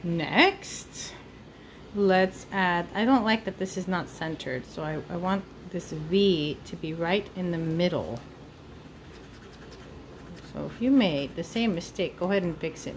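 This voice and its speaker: A woman's voice: on the low side, a little hiss or hum. A woman giving an informal step-by-step drawing lesson for children, thinking aloud as she works. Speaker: art teacher. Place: indoors at a table.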